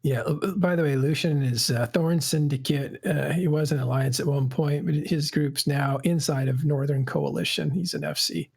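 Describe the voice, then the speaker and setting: A man's voice: fairly high pitch, steady tone, normal speed, creaky, few pauses, a little mellow, husky. A man hosting a casual panel podcast, rambling and correcting himself as he goes. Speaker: podcast host.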